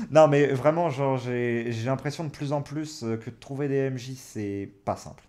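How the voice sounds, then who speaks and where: A young man in his twenties speaks in a casual, conversational way. He thinks aloud as he gives advice, hesitating along the way. His pitch sits in a middle range for a man. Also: lively intonation, a little slow, darkish tone. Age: 20s. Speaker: host, experienced game master giving advice. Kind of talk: casual chat, advice to beginners.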